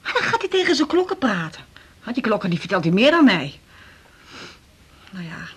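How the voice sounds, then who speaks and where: Aggrieved older mother, animated, slightly husky. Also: medium pitch, moderate pace. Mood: bitter. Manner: plaintive, indignant. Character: hurt, bitter older wife and mother. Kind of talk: radio drama acting.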